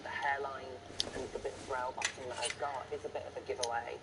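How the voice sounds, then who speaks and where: A woman talks about herself in a casual, slightly hesitant interview style. The recording is distant, with very loud noise behind her. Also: very deep voice, flat delivery, quick pace. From Leeds, northern England. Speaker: patient, documentary subject. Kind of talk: casual, personal interview talk.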